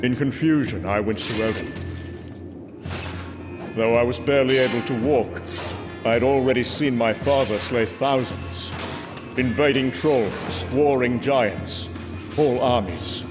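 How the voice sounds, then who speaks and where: An actor playing a proud, brooding warrior god, telling his tale gravely and theatrically in a comic book drama. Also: medium pitch, close to an everyday voice. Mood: solemn, reflective. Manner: grave, theatrical, measured storytelling. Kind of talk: dramatic first-person comic book narration. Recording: music under the voice. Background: music.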